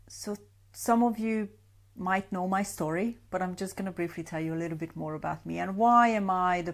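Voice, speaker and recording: A woman talks to viewers of a live stream as a sleep coach. Her voice sits a little low and has a gravelly, fry-edged texture. She chats loosely, with many fillers, and greets viewers by name. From Hungary. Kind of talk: Facebook Live talk to a group. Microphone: webcam or phone on a live stream.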